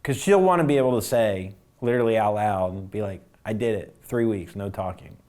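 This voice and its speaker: A man with a mellow, warm voice pitched a little low. He speaks casually and with a relaxed, joking ease. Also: fairly quick. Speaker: friend and fellow stand-up comic. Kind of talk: casual interview chat.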